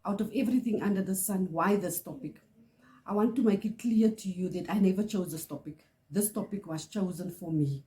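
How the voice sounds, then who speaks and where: A middle-aged woman from South Africa, Afrikaans-speaking, with a South African accent, telling a personal story in earnest, pausing often. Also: mid-range voice, mellow, a little hiss or hum. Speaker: channel host. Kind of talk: personal testimony to camera.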